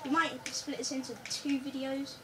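A high-pitched girl with a bit of a croaky voice, chatting casually as she plays a building game with a friend. She rushes, repeating words over and over as time runs out. She is picked up from across a room by a phone, with a steady hiss of noise. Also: far from the mic. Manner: hurried, repeats words under time pressure. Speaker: co-host playing a building game. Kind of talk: casual gameplay chat. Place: living room, in front of a TV. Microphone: phone camera filming the TV screen.

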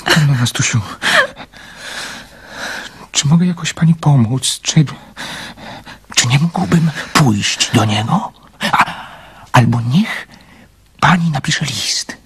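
A man playing a shy, sympathetic confidant in a radio drama, hesitant and stammering, soft-hearted. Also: a little high, slow, pauses often, breathy edge, exaggerated voice. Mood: eager, concerned. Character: gentle, sympathetic dreamer, shy confidant of a girl.

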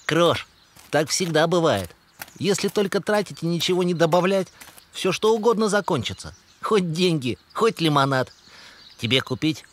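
A man voicing a kind, sensible young hedgehog in an animated cartoon, speaking in a gentle, patient, earnest way. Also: on the high side, normal speed, lightly acted.

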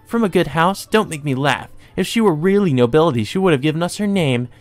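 A man's voice: on the high side, animated, dry recording, faint music behind, pitched above the performer's own voice, close to an everyday voice. A man voicing a deranged, lovesick teenage sister from a visual novel game, with theatrical, mocking, coaxing delivery. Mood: scornful. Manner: taunting, theatrical, drawn-out coaxing.

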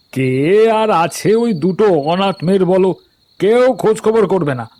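A man's voice: high-pitched, expressive, slow, darkish tone, full character voice. A man voicing a callous, sly henchman who fawns on his boss in a cartoon. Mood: smug, servile. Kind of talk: dramatic cartoon voice acting.

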